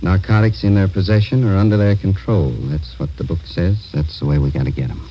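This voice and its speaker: An American man playing a steady, businesslike police captain in a radio drama, matter-of-fact and authoritative. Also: low voice, a little fast, slightly rough. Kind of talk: 1950 radio drama acting. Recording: muffled old radio recording, faint noise.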